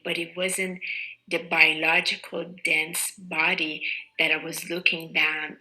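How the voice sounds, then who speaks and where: A woman reverend recounts a personal spiritual experience in a measured, reflective way. Her voice is sharply bright and her delivery is unhurried, with a lowish pitch for a woman. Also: low-quality recording. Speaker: reverend telling her near-death story. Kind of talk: slow personal testimony.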